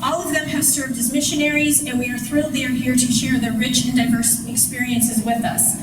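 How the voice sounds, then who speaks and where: A woman's voice: high voice, flat delivery, fairly quick. A woman moderating a museum panel, friendly and loose, with fillers. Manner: friendly, with fillers and small laughs. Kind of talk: hosting a public panel talk. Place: museum event hall. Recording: echoey hall from far off, loud noise.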